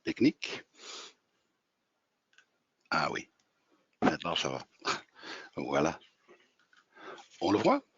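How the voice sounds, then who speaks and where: A man's voice: rumbling low, huge pitch swings, takes it slowly, pauses often, fairly bright tone, slightly rough, dry recording. Man, 60s, Flemish accent, native Dutch, hesitant, dull muffled audio. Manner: hesitant, searching for French words. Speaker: software company co-founder presenting. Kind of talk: webinar presentation. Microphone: video call. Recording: dull, dry webinar audio.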